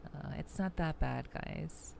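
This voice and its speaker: A woman hosting a livestream, chatting casually with viewers in a halting, measured way, often repeating words as she thinks. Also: low voice, gravelly, dark tone, a little hiss or hum. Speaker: VTuber livestream host.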